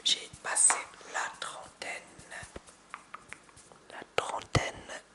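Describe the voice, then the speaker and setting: A man in his thirties whispering softly into a close binaural microphone for ASMR, with hesitant restarts. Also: extremely slow. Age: 30s. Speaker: ASMR creator. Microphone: close binaural mic with hand sounds.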